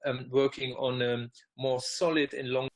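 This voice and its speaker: Male conference call presenter, medium-pitched, hesitant and explanatory, heard over a computer mic. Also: level tone. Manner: halting, hesitant phrasing. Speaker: project meeting presenter. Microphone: computer microphone on online call.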